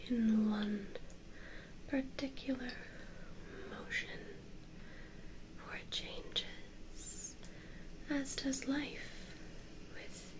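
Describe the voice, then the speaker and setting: A woman playing the Wheel of Fortune, an enigmatic tarot spirit, speaking cryptically in a halting, deliberate ASMR whisper. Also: a little high, low-quality recording.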